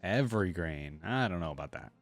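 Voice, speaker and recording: A man's voice: low-pitched, lively intonation, fairly quick. A man giving casual reaction commentary as a host, tossing in wry, teasing asides.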